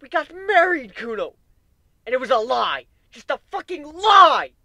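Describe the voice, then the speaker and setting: A man voicing a distraught young stallion pony who yells in wavering rage and swears. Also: very high voice, big pitch swings, a little slow, creaky, no echo, voice pushed up, heavily acted. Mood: furious.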